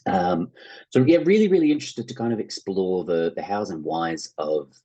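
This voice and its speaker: A man's voice: sits low, lively intonation, moderate pace, dark tone, slightly rough. An Australian man answering interview questions in a thoughtful, discursive, conversational way. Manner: thoughtful, discursive, hedging phrasing. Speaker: interview guest, playwright and actor.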